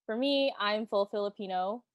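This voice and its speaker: A young woman hosting a casual student podcast, chatting in a relaxed way with lots of filler words. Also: mid-range voice, normal speed, no echo, muffled recording. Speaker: podcast host.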